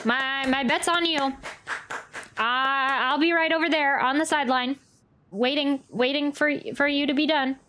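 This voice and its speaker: High-voiced woman reacting to a game. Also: fairly even intonation, unhurried, bright, forceful tone. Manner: stammering, repeats words and phrases. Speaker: game streamer. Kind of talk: casual live playthrough reaction. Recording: game music behind.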